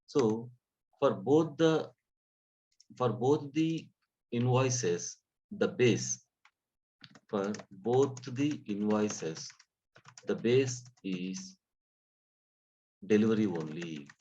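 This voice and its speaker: A man giving a live software training lesson, explaining step by step in a halting way, often repeating and rephrasing his phrases. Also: on the low side, animated, a little hiss or hum. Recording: dull, muffled lo-fi audio.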